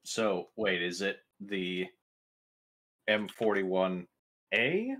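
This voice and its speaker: A man's voice: sits low, very animated, drawn-out words, a bit bright, slight background noise. A man chatting casually as a player in a tabletop roleplaying game. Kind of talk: casual gaming chat.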